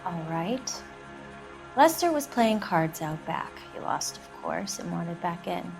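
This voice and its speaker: An actress playing a hard-bitten, defensive 1940s American widow in a video game, curt, dry and unsentimental. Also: female voice, fairly low pitch, big pitch swings, slow pace, creaky, faint music behind, exaggerated voice, rough recording. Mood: bitter, defiant. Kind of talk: dramatic video game acting.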